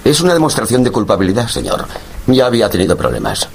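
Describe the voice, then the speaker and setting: A man's voice: fairly low pitch, huge pitch swings, fast talker, gravelly, background noise, full character voice. A man acting in a radio drama as a stubborn, officious, by-the-book police sergeant. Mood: officious, doubtful. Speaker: voice actor.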